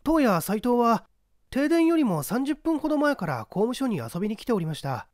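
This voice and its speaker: Man acting a high-voiced, polite office clerk. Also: big pitch swings, normal speed, darkish tone, no echo, studio quality. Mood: earnest. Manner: polite, earnest, careful. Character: dutiful night-duty office clerk testifying plainly. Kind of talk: dramatic audiobook reading.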